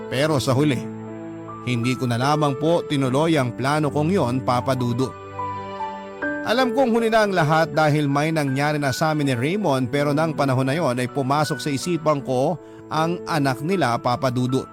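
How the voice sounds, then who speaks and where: A man with a Filipino accent reading a personal letter as first-person dramatic radio narration. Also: on the high side. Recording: clear music bed behind voice.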